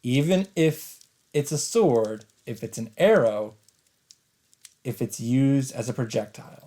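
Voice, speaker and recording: A man reading visual novel narration aloud as a let's player, with deliberate dramatic pauses. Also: medium pitch, animated, warm tone. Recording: game sound and noise behind.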